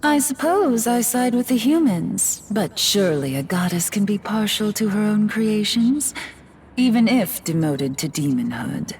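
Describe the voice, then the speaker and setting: An actress voicing an elegant, scheming fallen goddess in a video game, with a refined, condescending manner. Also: female voice, medium pitch, expressive, unhurried, darkish tone, slight background noise. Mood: musing. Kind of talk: video game cutscene voice acting. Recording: game audio with music behind.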